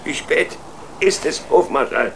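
A man's voice: on the high side, animated, a little slow, vocal fry, a bit bright, slight background noise, exaggerated voice. A man playing a grumbling, freezing king and father in a children's radio play, terse and plain-spoken.

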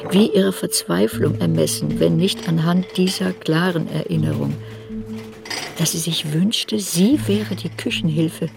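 A woman's voice: fairly low pitch, big pitch swings, a little slow, gravelly, music in the background, full character voice. An actress playing an adult woman memoirist who searches her girlhood unsparingly, in literary radio play narration. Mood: unsparing, searching.

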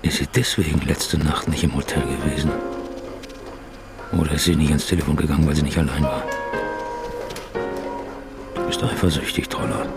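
An actor playing a weary, brooding journalist in his late forties with a gravelly voice, in a naturalistic radio drama. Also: a bass voice, steady tone, normal speed, long pauses, over loud music. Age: late 40s. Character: weary brooding male journalist nearing fifty, jealous and reluctant.

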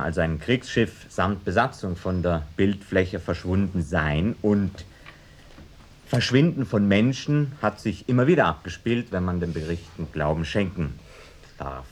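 An actor playing a pedantic university professor, giving hesitant, deliberate expert statements in a mock-documentary radio drama. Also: male voice, deep voice. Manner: hesitant, deliberate, academic hedging.

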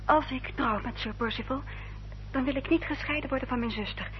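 An actress playing an anxious but resolute young heiress in a radio drama, speaking formally, hesitant yet firm. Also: female voice, high-pitched, brisk, lightly acted. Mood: quietly firm. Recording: dull old radio recording, hum and noise.

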